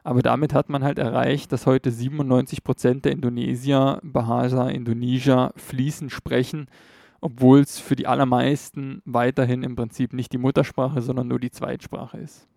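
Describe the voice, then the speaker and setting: A man talks through a topic in a relaxed, explanatory way, often pausing on fillers and correcting himself. His voice is dark and gravelly, recorded dry and close. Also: mid-range voice, dry recording. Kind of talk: casual educational talk.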